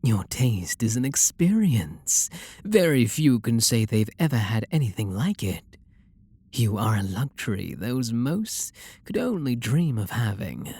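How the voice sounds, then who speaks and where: A man playing a refined, possessive vampire lord lover in an intimate ASMR roleplay, with a British accent and a soft, breathy, seductive murmur. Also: medium pitch, sing-song, measured pace, dry recording, heavily acted. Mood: possessive, flirtatious. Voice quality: breathy, gravelly.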